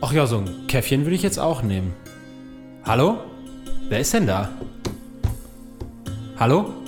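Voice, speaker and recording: A man's voice: mid-range voice, sing-song, a little slow, music in the background. A man playing a bewildered, grumbling podcaster on an adventure in a comedic audio drama, muttering colloquially. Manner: muttering to himself, colloquial, exasperated. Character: bewildered young male podcaster, grumbling, dazed adventure hero.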